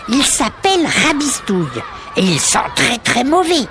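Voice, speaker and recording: Woman as a high-pitched plucky animal child. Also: very animated, measured pace, shouting, over music. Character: earnest young animal schoolchild, bullied but plucky. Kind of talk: children's audio story acting.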